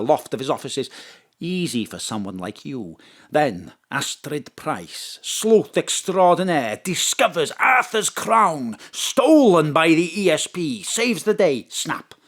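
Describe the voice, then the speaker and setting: A man playing a devious, blustering president with a pompous, deliberate manner in an audio drama. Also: a little high, fairly bright tone.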